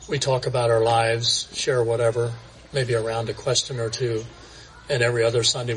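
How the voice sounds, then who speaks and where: Man, mid pitch, conversational testimony, faint outdoor hum behind. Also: rough recording. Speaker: church member sharing reflection. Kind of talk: casual personal testimony.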